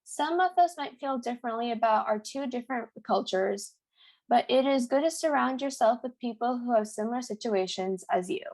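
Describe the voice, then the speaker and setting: A teenage girl co-hosting a youth podcast, reading her script in an earnest, steady way. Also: a little high, moderate pace. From United States. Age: teens. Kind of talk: read-aloud podcast script.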